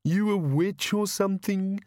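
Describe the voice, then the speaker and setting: A man's voice: high-pitched, measured pace, a little mellow, no room sound, voice pushed up. A man voicing a whining, pleading bogeyman monster for an audiobook. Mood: frightened, whining. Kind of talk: audiobook character voice.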